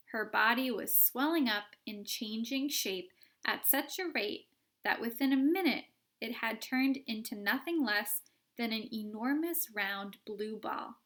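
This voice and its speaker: A woman reads a children's book aloud, expressive and clear. She takes a slow, careful pace in a fairly high voice, letting each sentence land. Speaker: reader.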